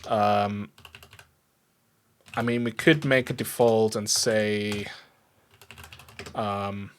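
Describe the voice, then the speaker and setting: A man with a fairly low voice and a German accent streams a coding session. He talks in a casual, easygoing way, poking fun at himself as he explains what he is doing. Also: steady tone, extremely slow, clear, resonant tone. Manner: easygoing, self-deprecating, chuckles often. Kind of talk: casual livestream chat while programming. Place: indoors at a computer desk.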